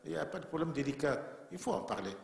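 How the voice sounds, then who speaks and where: A man's voice: a little high, animated, fast talker, gravelly. A man giving formal, earnest remarks as a panelist at a conference, from Central Africa. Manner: earnest, emphatic, repeats himself for weight. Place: conference room in a bank. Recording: echoey conference hall.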